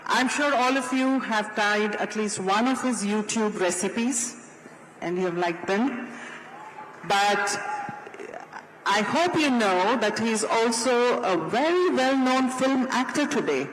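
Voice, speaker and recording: A moderator speaks slowly and formally, introducing the next guest. The voice rings through a large hall with heavy echo, a long reverb tail and faint room noise behind it. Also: mid-range voice, animated. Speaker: panel moderator. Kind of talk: panel moderation.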